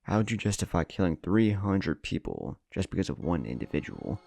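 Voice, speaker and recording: Man voicing young male ninja leader, earnest, resolute, low creaky voice, emphatic speeches, storybook dialogue. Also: big pitch swings, fairly quick, darkish tone, full character voice. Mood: troubled.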